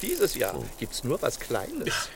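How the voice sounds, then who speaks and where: A man's voice: on the high side, wildly swooping pitch, slow pace, gravelly, a loud crowd, full character voice. A man playing a grumpy, stingy Saint Nicholas who protests theatrically in a children's audio play. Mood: gloating.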